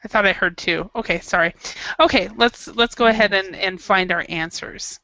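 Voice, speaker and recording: A woman teacher runs a quiz with her class and reads an article aloud, chatty and encouraging. Her tone is bright with a gravelly fry. The recording is dry and a little muffled. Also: mid-range voice, moderate pace, no room sound. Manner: chatty, encouraging, laughs easily. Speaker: English teacher.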